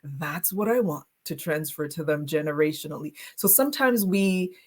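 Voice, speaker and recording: A woman's voice: sits low, expressive, moderate pace, a little mellow. A woman guest in a faith-based talk show, encouraging and laughing often as she speaks in affirmations. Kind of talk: casual faith-based conversation.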